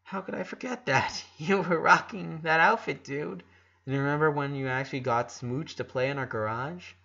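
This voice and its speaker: A man voicing an energetic, affectionate teenage rocker girl who talks in excitable casual slang. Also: a little high, moderate pace, creaky, slightly rough, muffled recording, heavily acted. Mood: playful, fond.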